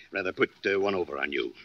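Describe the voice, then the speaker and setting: A man's voice: low voice, lively intonation, fast talker, gravelly, dry recording, exaggerated voice. A man acting in a 1940s radio drama as a rude, fussy, domineering rich man who barks and sneers. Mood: smug. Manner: blustering, barking orders, sneering. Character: rude, imperious, fussy rich male diamond owner. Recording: dull old 1940s radio recording.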